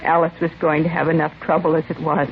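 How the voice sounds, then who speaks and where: An American woman acting the part of a grieving, resigned mother in her late forties, subdued and halting, in a 1950s radio drama. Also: sits low, level tone. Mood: sorrowful, resigned. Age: middle-aged. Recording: dull, noisy vintage radio recording.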